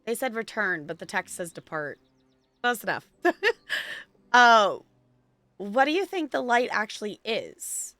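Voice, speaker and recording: Bright-voiced, lively woman streamer. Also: mid-range voice, measured pace, long pauses, dry recording, faint music behind. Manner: chatty, reactive, giggly. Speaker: game streamer. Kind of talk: casual live game stream commentary, chatting to viewers.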